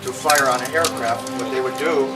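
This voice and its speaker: A man's voice: medium pitch, a little fast. A middle-aged man gives an informal demonstration talk to a crowd at an outdoor reenactment. He explains plainly and often says "okay" and "uh". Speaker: living history reenactor presenter. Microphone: camera far from the speaker. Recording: distant echoey outdoor recording, loud music.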